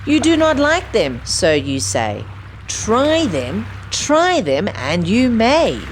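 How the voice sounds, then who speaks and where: A woman reading a rhyming picture book aloud to young children in an expressive, sing-song, emphatic way. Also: a little high, slow pace, a little hiss or hum.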